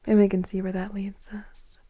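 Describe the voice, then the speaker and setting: A woman playing a gentle, flirtatious woman in her forties in an intimate ASMR romance roleplay, speaking softly and unhurriedly in a breathy near-whisper. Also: mid-range voice, completely flat delivery, close to an everyday voice. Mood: flirtatious, hesitant. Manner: soft, tender, hesitant, unhurried flirting.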